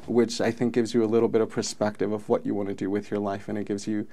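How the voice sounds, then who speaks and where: Man from Mexico, native Spanish speaker, fairly low voice, earnest storytelling. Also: flat delivery, quick pace, warm tone. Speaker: film commission president. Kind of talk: interview guest.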